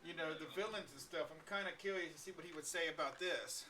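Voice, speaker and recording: A man's voice: fairly high pitch, fast talker, pushed and bright, far from the mic, a little hiss or hum. A man in his forties hosting a casual live-stream chat, rambling with lots of fillers and chuckles. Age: 40s. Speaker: podcast host.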